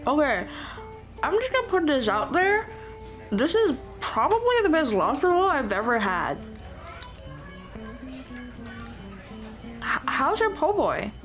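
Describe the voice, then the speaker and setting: A woman's voice: high voice, normal speed, faint music behind, poor audio. A woman voicing a cheerful headless Dullahan on a date in a scripted ASMR roleplay, chatting playfully and directly to the listener. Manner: playful, animated, punning, speaking directly to the listener.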